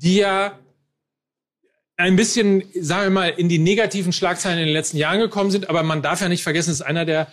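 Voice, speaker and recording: A man's voice: high voice, steady tone, quick pace, fairly bright tone. A man presenting a live football show to an audience in a chatty, jovial way, with some hesitations.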